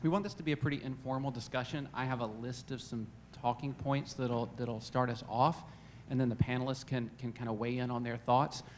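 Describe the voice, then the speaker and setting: A man's voice: medium pitch, fairly even intonation, a little fast, slight background noise. A man, a college dean, moderating a panel discussion, hesitant, with many "uh"s and repeated words. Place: campus event room.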